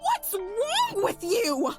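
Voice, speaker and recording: A woman's voice: very high voice, huge pitch swings, extremely slow, over loud music, full character voice. A woman playing a stubborn, easily hurt young woman in an audio drama, with emphatic, accusing delivery. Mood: furious accusing. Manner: emphatic, outraged outbursts.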